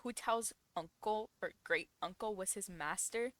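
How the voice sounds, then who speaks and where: A woman's voice: medium pitch, unhurried, fairly bright tone, dry recording. A young woman giving casual, informal, reactive commentary as she plays a game. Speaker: game streamer. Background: game music.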